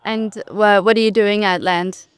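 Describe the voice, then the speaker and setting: A woman's voice: mid-range voice, steady tone, unhurried, clean tone, no room sound. A woman hosting a casual live interview, chatty and upbeat, with frequent fillers. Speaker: interviewer, event host. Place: outdoors on a bench at a city event.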